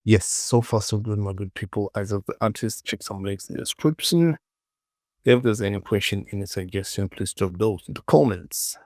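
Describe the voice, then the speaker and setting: A man with a gravelly voice casually narrating a software tutorial, muttering short, repeated phrases to himself as he works. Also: on the low side, normal speed, dry recording, studio quality. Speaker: tutorial host.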